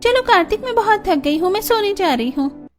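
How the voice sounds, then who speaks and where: A woman's voice: very high-pitched, animated, fairly quick, soft background music. A woman playing a spoiled, defiant young rich bride in a family story, snappy and complaining. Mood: irritated, impatient. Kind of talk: dramatised Hindi family story acting.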